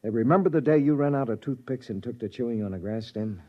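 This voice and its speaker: A man's voice: medium pitch, quick pace, natural voice. An actor playing a space crewman who narrates his own story in a matter-of-fact way, 1950s radio drama style. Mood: grim. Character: male space crewman narrating, steady and dry. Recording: muffled 1950s radio broadcast.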